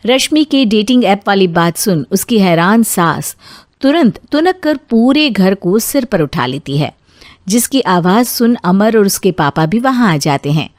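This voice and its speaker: A woman narrating a scripted animated family story. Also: mid-range voice, a little slow, dry recording, studio quality.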